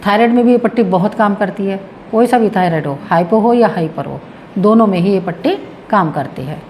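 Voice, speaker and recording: A woman's voice: mid-range voice, brisk, a little mellow. Female presenter, demonstration lesson, patient step-by-step explaining, faint background noise. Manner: explains with repetition while demonstrating. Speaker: health tips presenter.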